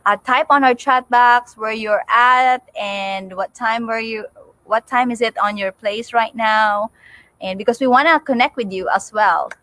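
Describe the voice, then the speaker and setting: A woman's voice: a little high, a little slow, bright, forceful tone. A woman with a Filipino accent co-hosting a casual livestream in a chatty, agreeable way. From Philippines. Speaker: co-host of a livestream.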